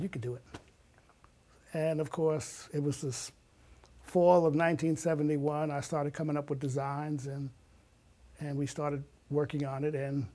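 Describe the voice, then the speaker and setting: A man's voice: a little high, warm tone. A man in his sixties telling personal stories in conversation as an interview guest, acting out bits of remembered dialogue. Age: 60s.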